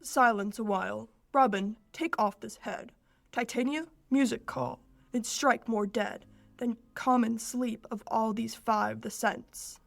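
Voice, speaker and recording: A young woman playing a proud fairy king, reciting Shakespearean verse in a measured, declamatory way for a radio play. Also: a little high, fairly even intonation, creaky, no room sound. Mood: commanding. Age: teens or young adult.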